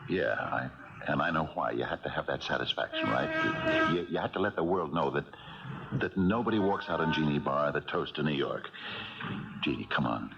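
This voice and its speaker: An actor playing a weary older male retired cop in a 1970s radio drama, halting and probing, with a worried gruffness. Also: rumbling low, lively intonation, exaggerated voice. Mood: pressing, concerned. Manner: halting, hesitant, probing questions. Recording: dull 1970s radio recording, loud music.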